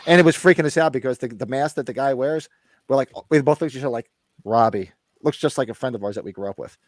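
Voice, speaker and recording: American man, rapid rambling delivery, blunt and sweary, recovering voice, dry close sound, casual podcast host. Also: mid-range voice, dry recording. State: recovering from a lost voice. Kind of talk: casual chat about horror movies.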